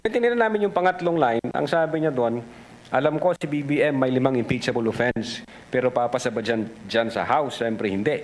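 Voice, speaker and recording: A Filipino man gives formal witness testimony in a mid-pitched voice. He is deliberate and mixes English with Tagalog, with faint noise behind him in what sounds like a broadcast hearing.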